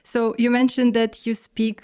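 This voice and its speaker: Woman, interview host, bright higher voice, curious, quick prompts. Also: little pitch movement. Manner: warmly curious, short prompts like "mm-hmm". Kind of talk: casual interview.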